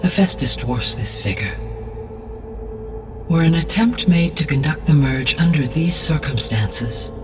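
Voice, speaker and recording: A man voicing a calm, benevolent artificial intelligence in a video game, speaking in a formal, precise and measured way. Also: a little high, distant mic. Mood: measured, explanatory. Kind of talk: scripted video game cutscene dialogue. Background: background music.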